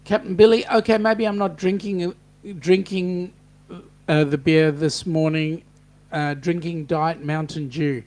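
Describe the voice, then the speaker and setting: Chatty Australian man, higher voice. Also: fairly even intonation, unhurried, a little mellow. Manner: chatty, upbeat, reads comments aloud. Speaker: host. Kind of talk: casual livestream chat, reading viewer comments.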